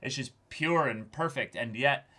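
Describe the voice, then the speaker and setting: A man's voice: mid-range voice, a little slow, sharp tone. A man co-hosting a reaction show, talking earnestly and effusively in casual discussion, often repeating words as he searches for the next one.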